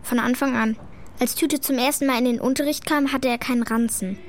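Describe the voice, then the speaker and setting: A woman's voice: high voice, steady tone, brisk, close to an everyday voice. A female performer playing an earnest, frank schoolgirl in a children's radio play, speaking in a matter-of-fact, childlike way. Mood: earnest, hurt.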